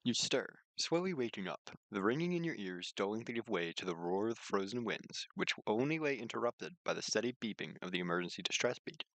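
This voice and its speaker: A man's voice: fairly low pitch, animated, brisk, few pauses, a bit bright, no room sound, poor audio. A man streaming his own visual novel, switching between read-aloud narration and informal asides.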